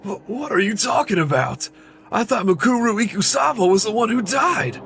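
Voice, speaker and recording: A man voicing a bewildered, anxious high-school student who stammers, in an anime fandub. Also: lively intonation, moderate pace, vocal fry, a bit bright, a little hiss or hum, full character voice. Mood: confused, alarmed. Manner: flustered, stammering.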